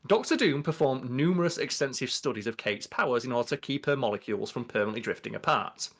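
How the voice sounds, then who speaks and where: A man's voice: medium pitch, lively intonation, fast. A young adult man chatting casually as a podcast co-host, reading out entries and commenting in an informal, sweary way. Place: small bedroom.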